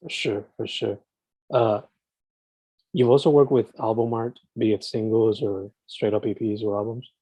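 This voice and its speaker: A man's voice: fairly low pitch, fairly even intonation, moderate pace, dark tone, no room sound, poor audio. A man hosting a podcast, asking interview questions with short affirmations and hesitant uhs. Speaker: podcast host.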